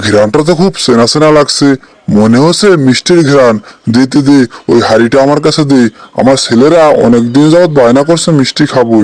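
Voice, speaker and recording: A man's voice: medium pitch, fairly quick, darkish tone, no echo, natural voice. A man voicing a haughty, greedy lion king of the forest in a cartoon, imperious and demanding. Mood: greedy, curious. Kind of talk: cartoon character acting.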